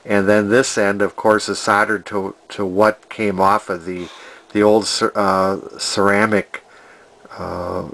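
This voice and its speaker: A man giving an informal hands-on repair demonstration in a halting way, thinking aloud as he explains. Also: fairly low pitch, a bit bright. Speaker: hobbyist radio repairer, presenter. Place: indoor workshop at a workbench.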